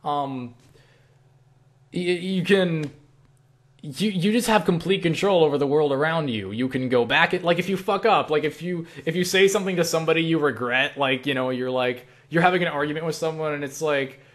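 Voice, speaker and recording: A man's voice: a little high, moderate pace, a bit bright. A young man chatting casually in a question-and-answer vlog, rambling and self-deprecating, with casual swearing. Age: young adult. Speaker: online video creator answering viewer questions.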